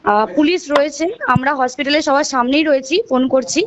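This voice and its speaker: A woman's voice: sits high, steady tone, quick pace, crowd noise. A woman with a Bengali accent giving urgent notice on a recorded phone call as a hospital official, repeating herself and hesitating. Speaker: hospital assistant superintendent calling a relative.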